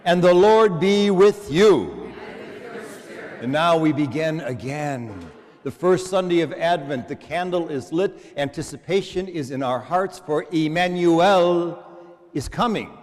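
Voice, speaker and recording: A male priest giving a sermon with emphatic, repeated phrases, recorded in a large reverberant church. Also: on the high side. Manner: emphatic, rhetorical repetition. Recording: echoing church hall, long reverb.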